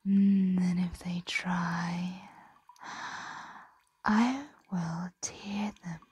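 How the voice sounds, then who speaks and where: A woman's voice: fairly low pitch, fairly even intonation, darkish tone, breathy edge, exaggerated voice. A woman playing an ancient, possessive, doting dragon in an ASMR roleplay, whispering breathily and soothingly, close to the microphone. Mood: protective, menacing. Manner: slow, soothing, possessive murmuring.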